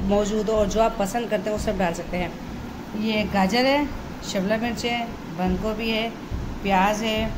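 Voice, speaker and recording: Woman explaining recipes over background noise. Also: medium pitch. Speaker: home cook, cooking channel host. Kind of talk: casual recipe tutorial narration.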